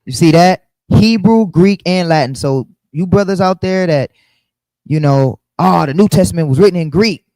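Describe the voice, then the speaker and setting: A man's voice: sits high, normal speed, darkish tone, no echo, low-quality recording. A man teaching a casual bible study, often checking in with the listeners by asking "right?".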